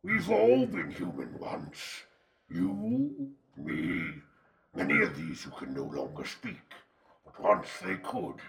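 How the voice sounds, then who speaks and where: A man voicing a horrid ghoul leader, grandly morbid, with a twisted snarl and drawn-out, grandiose delivery in a dramatized horror-comedy. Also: low-pitched, wildly swooping pitch, gravelly, exaggerated voice. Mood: quiet, ominous.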